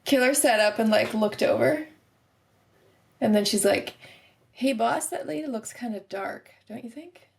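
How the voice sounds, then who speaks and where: Female RPG player, casual table talk, slightly high voice, slow and easy pace. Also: gravelly. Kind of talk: casual roleplay chat.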